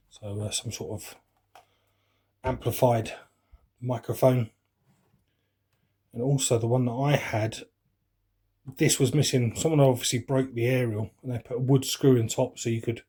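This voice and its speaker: A man in his forties with a London accent and a slightly husky, rough-edged voice at an ordinary male pitch. He talks informally and rambles a little, like a hobbyist reminiscing on his own vlog. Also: a little mellow. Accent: London English. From West London, England. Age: 40s. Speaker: radio hobbyist vlogger. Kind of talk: casual reminiscing chat while unboxing.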